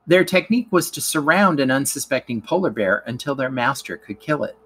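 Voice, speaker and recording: A man's voice: medium pitch, animated, brisk, fairly bright tone. A man narrating a scripted educational lesson as a teacher reading aloud.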